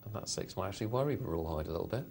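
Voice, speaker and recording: A man's voice: sits low, lively intonation, very fast, creaky, a little mellow, a little hiss or hum. A man hosting a live poker show, commenting on hands in a chatty, hesitant way with lots of fillers. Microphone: studio mic.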